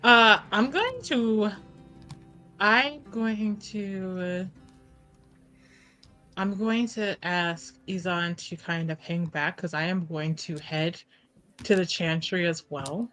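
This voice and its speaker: Bright, emphatic woman playing a tabletop game. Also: lowish voice, slow, pauses often, faint music behind. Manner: sweary, emphatic. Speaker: player in a tabletop roleplaying game. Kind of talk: casual in-game chat.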